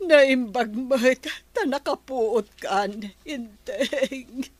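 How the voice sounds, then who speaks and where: The worried wife of a village captain in a radio comedy. She speaks slowly and plaintively in a slightly high voice, with dry, close studio sound. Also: dry recording, full character voice. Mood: grave. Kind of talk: radio comedy drama acting.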